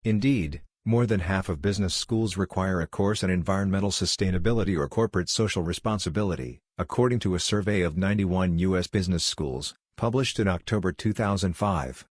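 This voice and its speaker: A man's voice: deep voice, brisk, few pauses, no room sound, studio quality. A man reading a scripted practice passage aloud.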